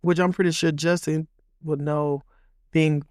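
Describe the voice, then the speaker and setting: A man's voice: on the high side, flat delivery, measured pace, a little mellow, clean tone, dry recording, studio quality. A young man from Mississippi, co-hosting a podcast and telling stories at length with plenty of uhs and likes. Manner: rambling, filler-heavy storytelling. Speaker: podcast co-host. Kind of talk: casual podcast chat.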